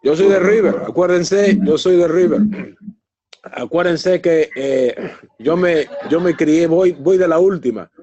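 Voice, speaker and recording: A man's voice: a little high, measured pace, dull audio. A man from northern Argentina with an Argentine Spanish accent, lecturing and chatting at an easy pace with many fillers. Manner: relaxed, chatty, fillers like "este". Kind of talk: online lecture Q&A.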